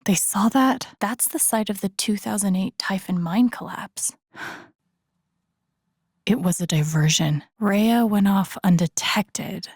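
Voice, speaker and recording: A woman playing an introspective, gently wry young woman in a video game, musing to herself in a naturalistic, low-key way. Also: slow pace, slightly rough, no echo, clean studio sound. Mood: uneasy, surprised. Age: young adult. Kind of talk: naturalistic game voice acting, inner-monologue remarks.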